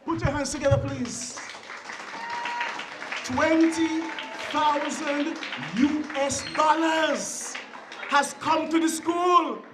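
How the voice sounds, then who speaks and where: Kittitian ceremonial speaker, very high voice, measured emphatic oratory, echoey venue. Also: crowd noise, noisy background. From Saint Kitts and Nevis. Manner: oratorical, deliberate, emphatic repetition in short phrases. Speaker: guest speaker at a school ceremony. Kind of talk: formal ceremonial public speech.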